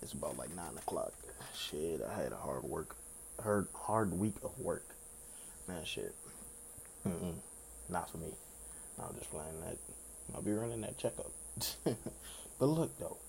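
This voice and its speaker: Deep raspy laid-back man. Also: animated, unhurried, long pauses, a little mellow, slight background noise, low-quality recording. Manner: laid-back, rambling, swears freely. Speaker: podcast host. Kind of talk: casual solo podcast chat.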